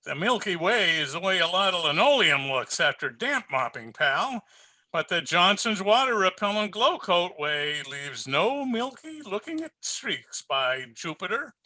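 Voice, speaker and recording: A man's voice: big pitch swings, unhurried, sharp tone, no echo, full character voice. A man playing a relentlessly cheerful, slick salesman in an old-time radio comedy. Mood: gleeful, selling. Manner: hearty, slick sales pitch. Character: relentlessly cheerful, enthusiastic floor wax salesman.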